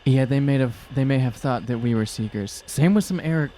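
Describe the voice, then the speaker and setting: A man's voice: mid-range voice, moderate pace, dark tone, slight background noise. A young man chatting casually and sardonically as a player in a tabletop fantasy game. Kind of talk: casual improvised game chat.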